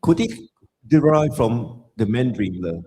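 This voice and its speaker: A man's voice: medium pitch, animated, unhurried, mellow. A man from the audience asking a curious, tentative question, recorded with long hall reverb.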